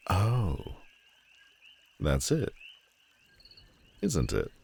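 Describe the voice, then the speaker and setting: A man playing a menacing, world-weary telepathic supervillain in an audio roleplay, theatrical and mocking, with deliberate dramatic pauses. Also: deep voice, a little mellow, full character voice. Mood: dawning realisation. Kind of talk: scripted audio roleplay addressed to the listener.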